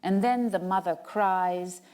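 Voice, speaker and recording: A woman reading poetry aloud as a dramatic recital, with measured, expressive and deliberate phrasing. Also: medium pitch.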